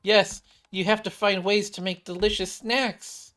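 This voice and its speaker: A man's voice: high-pitched, fairly even intonation, unhurried, bright, forceful tone, soft background music, pitched above the performer's own voice. A man voicing a flustered, dozy schoolgirl who stammers as she eagerly bluffs. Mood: flustered, sheepish.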